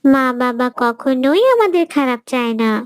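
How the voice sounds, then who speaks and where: A woman voicing a gentle, pious little schoolgirl in a cartoon, speaking earnestly and politely. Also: high-pitched, animated, moderate pace, clear, resonant tone, no echo, studio quality. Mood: gently reproving. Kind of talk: cartoon dialogue.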